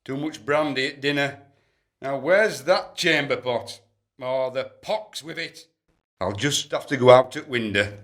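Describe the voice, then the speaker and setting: Man playing a blustering, slow-spoken lusty duke. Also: mid-range voice, fairly bright tone, natural voice. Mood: boisterous, boastful. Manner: pompous, bawdy, exclaiming. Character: lusty, blustering, boozy duke on his wedding night. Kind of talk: period comedy radio play acting.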